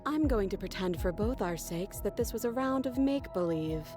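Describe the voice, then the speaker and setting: A woman playing a threatening young princess in a horror game, with a cool, measured, controlled manner. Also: medium pitch, lively intonation, over music, close to an everyday voice. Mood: cold, threatening. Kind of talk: dramatic video game acting.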